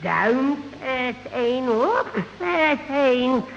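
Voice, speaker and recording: High-pitched, stammering simpleton. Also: male voice, expressive, extremely slow, gravelly, full character voice. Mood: earnest, muddled. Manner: stammering, muddled, giggly. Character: gormless, bumbling, good-natured young man. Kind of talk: 1970s radio sitcom acting. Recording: muffled, noisy old radio, echoing hall.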